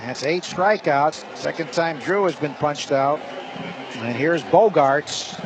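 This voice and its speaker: A man with a fairly high voice calls a baseball game live for radio. He speaks in short, descriptive play-by-play phrases, with clear ballpark noise behind him. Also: slow pace. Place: ballpark broadcast booth. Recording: noisy live stadium broadcast. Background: clear stadium ambience.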